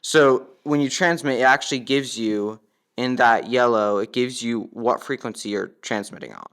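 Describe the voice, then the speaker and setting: A man's voice: medium pitch, normal speed, fairly bright tone, clear, resonant tone. A man giving a casual step-by-step product demonstration in a plain, instructional manner. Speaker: product demonstrator, ham radio operator.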